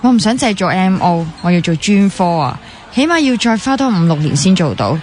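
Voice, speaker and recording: A woman's voice: mid-range voice, sing-song, fairly quick, darkish tone, slight background noise, close to an everyday voice. An actress with a Hong Kong Cantonese accent playing an earnest, teasing young woman training as a doctor in a radio drama. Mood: earnest, reflective. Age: young adult. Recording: music playing behind the dialogue.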